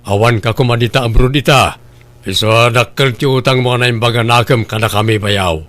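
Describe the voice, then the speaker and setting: A man's voice: medium pitch, steady tone, normal speed, fairly bright tone, natural voice. A man acting as a grumbling, reproachful husband weighed down by debt, in an audio drama.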